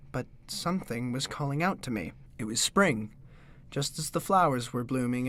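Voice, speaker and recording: A man's voice: a little high, fairly bright tone. A man playing a put-upon young actor who narrates his gothic adventure in an audio drama, dramatic, wry and exasperated.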